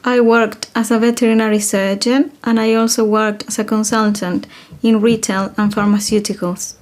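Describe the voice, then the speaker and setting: A woman from Chile with a Chilean Spanish accent, a native Spanish speaker, answering interview questions in a measured way. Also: fairly high pitch, level tone, darkish tone.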